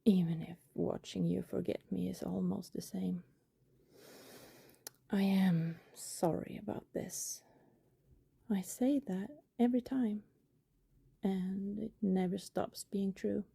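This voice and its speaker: A woman playing a lonely, tender, guilt-ridden vampire neighbor in an intimate ASMR roleplay, speaking softly in a breathy whisper with pauses. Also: mid-range voice, fairly even intonation, no echo, exaggerated voice. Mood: regretful, tender. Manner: slow, hushed, intimate, with pauses.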